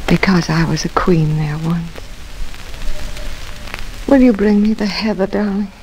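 An actress in an old radio drama playing a dying, feverish young wife, tender and yearning, speaking faintly and often near a whisper. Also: female voice, fairly low pitch, a little mellow, soft background music, rough recording. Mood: feverish, insistent. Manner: slow, faint, melodramatic delivery. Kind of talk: 1940s radio drama acting.

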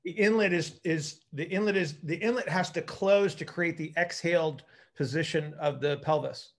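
A middle-aged man with a slightly raised voice coaches a group over a video call. He explains technical material informally, often doubling back on words as he works through the idea. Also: a little high. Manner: halting, repeats words as he thinks aloud. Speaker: coach and physical therapist hosting a group call. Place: indoor room at home, group video call.

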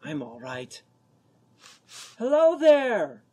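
Man playing an eager, husky-voiced teenage boy. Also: sits high, wildly swooping pitch, extremely slow, dark tone, exaggerated voice. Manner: eager, boyish. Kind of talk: amateur radio drama acting.

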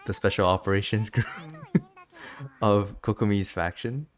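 A man's voice: on the low side, steady tone, normal speed. A man giving casual, chatty game commentary as a streamer, with a giggly manner.

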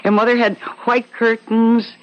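A woman's voice: a little high, flat delivery, a little slow, lightly acted. An actress playing a sentimental young woman revisiting her childhood home in a radio drama, wistful, gushing and girlishly eager. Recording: dull, lo-fi old radio recording.

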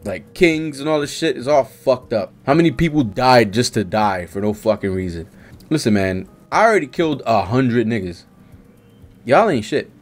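A man in his thirties gives casual, slangy, profane live reaction commentary into a close desk microphone, reading panels aloud as he goes. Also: mid-range voice. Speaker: manga reaction streamer. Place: small indoor streaming setup. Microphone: close desk-arm microphone.

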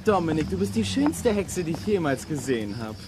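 A high, lively teenage boy. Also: fairly quick. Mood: wry. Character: plucky, wry teenage boy detective. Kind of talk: German children's radio play acting. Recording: clear background noise.

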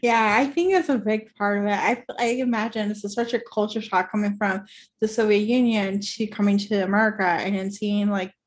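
A woman's voice: medium pitch, steady tone, fairly quick. A woman from Puerto Rico hosting a book podcast, chatting casually with her guest and using lots of "like" and "you know". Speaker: podcast host. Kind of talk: casual interview chat.

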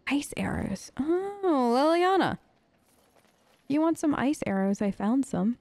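A woman's voice: high-pitched, big pitch swings, takes it slowly, darkish tone, dry recording. A woman hosting a role-play let's play, chatting casually in character and musing aloud as she goes. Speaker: let's play host, role-playing her game character. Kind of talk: casual in-character game commentary.

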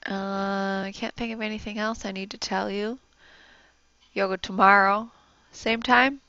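A soft-spoken young woman, a yoga instructor, giving gentle, encouraging instructions and breathing cues. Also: medium pitch, fairly even intonation, a little slow, no room sound, highs cut off. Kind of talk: guided yoga class instruction.

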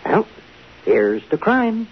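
A smooth-talking, self-important film director in a 1950s radio play. He is flirtatious and affected, with a very lively, swooping pitch. The recording is muffled and hissy. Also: takes it slowly, heavily acted. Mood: charmed, gushing. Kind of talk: 1950s radio drama acting.

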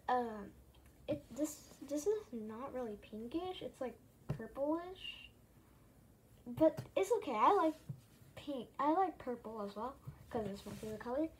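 A young female vlogger with a high voice talks casually at a slow pace. She rambles and hesitates, often going back over the same phrase. The home recording has clear noise behind her. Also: poor audio. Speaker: vlogger showing a plush toy collection.

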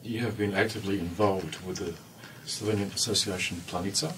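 A man's voice: low-pitched, fairly even intonation, fairly quick. A man conducting an interview in a small radio studio, asking short, prepared questions. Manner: short, prepared questions with brief listening murmurs. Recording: distant from the mic, faint hiss.

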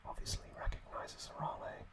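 A man whispering breathily as an ASMR host, recapping episodes in an informal, halting way. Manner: slow, halting, informal explaining.

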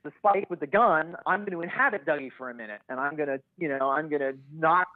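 A man speaking as a podcast guest in casual analysis, hesitantly thinking out loud as he goes. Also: fairly high pitch, quick pace, no echo, phone-quality sound.

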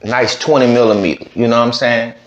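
A man's voice: medium pitch, steady tone, brisk. A man hosting a reaction video, talking casually and rambling with lots of "you know what I'm saying.". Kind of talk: casual commentary. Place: home studio with green-screen backdrop. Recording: roomy, slightly dull sound.

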